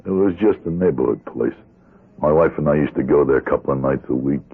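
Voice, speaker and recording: A very deep, weary American ex-convict. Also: male voice, fast, breathy edge, narrow, tinny audio, heavily acted. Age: middle-aged. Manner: terse, flat-worded, guarded, world-weary. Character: weary, guarded middle-aged ex-convict, blunt and sombre. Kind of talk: old-time radio drama acting. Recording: band-limited radio drama with music.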